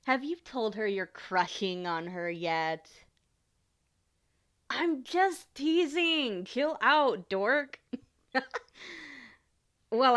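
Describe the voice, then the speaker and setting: A woman's voice: medium pitch, a bit bright. A woman playing a caring, teasing young adult older sister in an ASMR roleplay, talking casually and informally straight to the listener. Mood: teasing, warm. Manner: playful, informal, sighs and small laughs.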